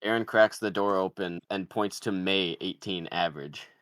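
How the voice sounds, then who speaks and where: A man's voice: low-pitched, normal speed, a bit bright, smooth, no echo, clean studio sound. A man narrating, reading out the stage directions of a screenplay.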